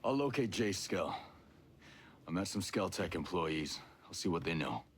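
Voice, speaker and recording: An actor playing a gruff, terse, determined special forces soldier in a video game cutscene. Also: male voice, sits low, very animated, a little slow, slight background noise. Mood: grim, resolute. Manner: terse, matter-of-fact.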